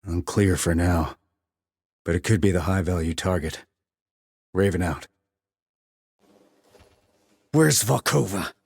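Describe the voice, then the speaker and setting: An actor playing a terse, cool-headed sniper operative in a video game, with clipped, controlled military delivery and a low husky voice. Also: male voice, slow pace, pauses often, a little mellow, no echo, heavily acted. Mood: menacing, interrogating. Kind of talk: military radio chatter, game dialogue.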